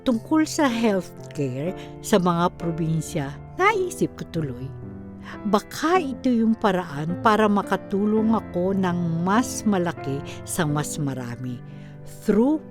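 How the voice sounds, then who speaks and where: A woman delivering scripted dramatic narration in a reflective, measured way, as a radio drama narrator reading a letter. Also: fairly low pitch, very animated, over music.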